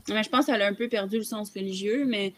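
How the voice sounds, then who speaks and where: A woman's voice: mid-range voice, fast, fairly bright tone, no room sound. A young woman with a Québécois accent chatting casually on a video-call podcast from a room at home. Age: young adult. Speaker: podcast panelist. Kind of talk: casual chat.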